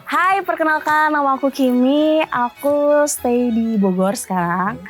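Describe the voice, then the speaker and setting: A woman's voice: sits high, unhurried. A chatty, scatterbrained woman, telling things casually as a dating show contestant. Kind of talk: casual self-introduction, storytelling. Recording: music playing behind the voice. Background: music.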